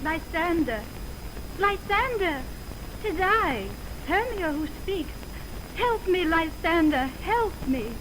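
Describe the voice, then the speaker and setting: A woman's voice: very high-pitched, animated, takes it slowly, exaggerated voice. A woman playing a frightened young woman in a Shakespearean radio drama, pleading theatrically, on a 1920s recording. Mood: panicked pleading. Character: Hermia, frightened young woman waking from a nightmare. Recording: noisy 1929 optical film radio recording.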